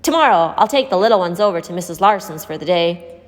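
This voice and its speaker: A woman voicing a gentle, wistful, motherly woman who cares for street children in a storybook. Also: on the low side, expressive, fast talker, lightly acted. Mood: cheerful, asking. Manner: reminiscing, fond, motherly. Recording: echoey hall-like reverb.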